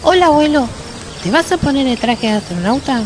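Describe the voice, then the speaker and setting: Curious high-voiced little Argentine boy. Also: lively intonation, fairly quick, a little mellow, a little hiss or hum. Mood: curious, eager. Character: curious eager little boy talking to his grandfather. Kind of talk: radio drama acting. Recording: clear outdoor birds and insects. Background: birds, buzzing insects.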